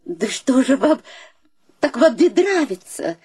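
Casting: coy young maiden in radio play, very high voice, dry close sound, affected cooing delivery. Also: female voice, big pitch swings, a little slow, darkish tone, slightly rough, dry recording, full character voice. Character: gushing, coy, marriage-hungry young maiden. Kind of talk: theatrical radio play acting.

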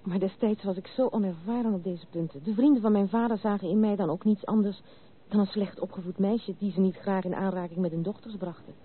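A woman's voice: medium pitch, steady tone, brisk, close to an everyday voice. An actress playing a spirited but wounded young noblewoman in a Dutch radio drama, speaking candidly and earnestly in literary phrases. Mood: reminiscing. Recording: narrow tinny old radio recording, faint hiss.

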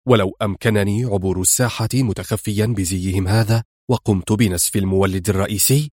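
A man's voice: lowish voice, darkish tone, no echo, clean studio sound, voice pushed down, natural voice. A man voicing a resolute male secret agent in a spoken story, firm and clipped. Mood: calm planning.

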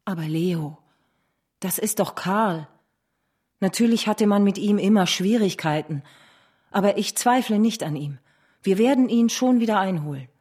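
A woman's voice: mid-range voice, normal speed, darkish tone, natural voice. An actress playing a troubled but steadfast revolutionary woman in a radio drama, earnest and intimate. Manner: earnest, intimate, often dropping to a whisper. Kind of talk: German radio drama acting. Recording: clear music behind the voice.

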